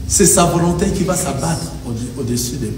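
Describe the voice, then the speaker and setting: A man's voice: fairly high pitch, expressive, fairly quick. A man preaching a sermon as pastor, emphatic and rhythmic, with the congregation answering. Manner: emphatic preaching with call-and-response. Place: church hall. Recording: echoey hall, distant mic, faint noise. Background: congregation answering amen.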